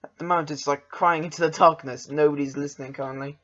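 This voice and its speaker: A man's voice: a little high, fairly even intonation, fast talker, fairly bright tone. Young english guy hosting a podcast, hesitant and self-deprecating, muffled lo-fi call sound. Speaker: podcast host. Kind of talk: casual podcast chat.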